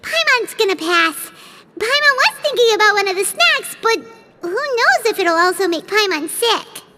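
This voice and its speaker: A woman's voice: very high-pitched, big pitch swings, moderate pace, very noisy. A woman voicing a tiny, chatty floating fairy companion in a video game, who talks about herself in the third person and fires off blunt, curious questions. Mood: doubtful.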